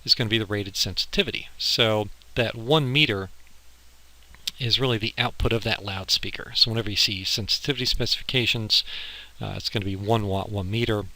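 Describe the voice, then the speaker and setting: A man's voice: on the low side, a little fast, bright, forceful tone, poor audio. A man giving an informal, step-by-step lecture as an instructor, explaining technical material over slides. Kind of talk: slide lecture on audio engineering. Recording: harsh amateur recording with clicking.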